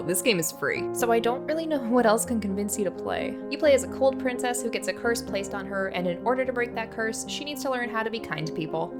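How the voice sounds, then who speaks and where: A woman giving casual review commentary as a video host, chatty, opinionated and self-deprecating. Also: fairly high pitch. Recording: voice-over with clear background music. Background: music.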